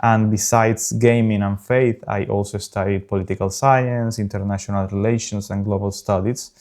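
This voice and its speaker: Earnest low-voiced man, Spanish accent. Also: fairly even intonation, darkish tone. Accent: South American Spanish. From South America. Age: early 30s. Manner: earnest, unpolished, frequent pauses. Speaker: gaming channel host. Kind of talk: casual talk over gameplay.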